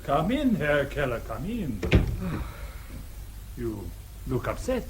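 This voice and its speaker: An actor playing a grave, courteous German man in a radio drama, speaking formally and deliberately with a German accent. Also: mid-range voice, sing-song, noisy background. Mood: grave, confiding. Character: courteous, principled German man, grave confidant or consular clerk.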